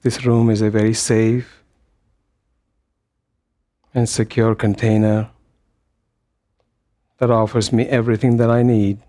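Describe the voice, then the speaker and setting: A man leading a guided meditation as a teacher, speaking gently in short phrases with long pauses between them. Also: on the low side, little pitch movement. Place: meditation hall.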